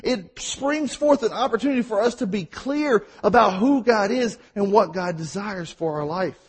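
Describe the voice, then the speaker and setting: A man with a Mississippi accent preaching a sermon, telling stories and at times talking fast. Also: high voice, lively intonation, husky. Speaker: pastor.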